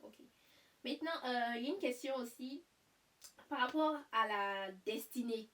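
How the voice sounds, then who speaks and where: A young woman hosting a casual interview, asking probing follow-up questions whose pitch climbs as she presses. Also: moderate pace, a bit bright, distant mic. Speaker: host.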